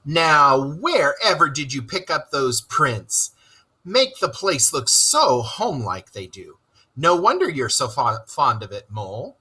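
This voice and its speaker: Practical, cheery storybook rat, put on by a reader, encouraging and brisk, forceful with a slight rasp. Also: mid-range voice, sing-song, full character voice. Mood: admiring, curious. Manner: brisk, upbeat, coaxing. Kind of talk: children's storybook read-aloud.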